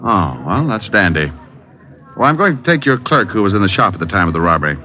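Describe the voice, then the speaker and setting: A man's voice: on the low side, big pitch swings, quick pace. An actor playing a cool, wisecracking male private detective in an old-time radio drama, with wry, clipped delivery. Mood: dry, assured. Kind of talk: old-time radio detective acting with first-person narration. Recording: dull old radio recording, faint music.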